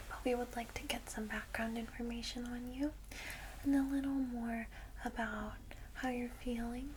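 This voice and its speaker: Woman as a whispering, gentle vampire guide, noisy recording. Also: fairly high pitch, lightly acted. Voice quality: soft, breathy whisper. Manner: reassuring, unhurried, hushed. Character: gentle, welcoming female vampire guiding a newcomer. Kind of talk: whispered ASMR roleplay.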